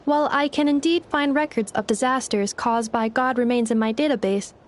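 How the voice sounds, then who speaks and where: A woman's voice: fairly high pitch, brisk, slight background noise, close to an everyday voice. A woman voicing a polite, literal-minded android in a video game, speaking formally and with analytical precision.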